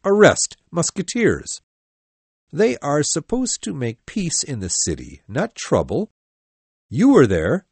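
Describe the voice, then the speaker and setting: A man's voice: on the high side, wildly swooping pitch, takes it slowly, breathy edge, dry recording, heavily acted. Man acting stern, hot-tempered older captain, swooping delivery. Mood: furious, scolding. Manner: forceful, scolding, commanding. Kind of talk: audiobook dialogue acting.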